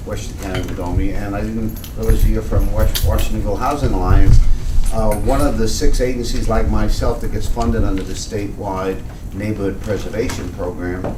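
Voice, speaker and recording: A man who directs a tenant organization, giving a loud, explanatory workshop talk with frequent restarts. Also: on the low side. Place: community meeting room. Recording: echoey room, distant mic, noisy.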